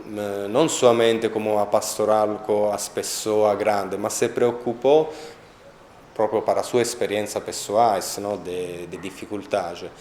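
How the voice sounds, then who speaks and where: Low-voiced Italian man, halting. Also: darkish tone, reverberant, slight background noise. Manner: halting, searching for words, many pauses. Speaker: interviewee on a sainthood cause. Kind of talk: documentary interview.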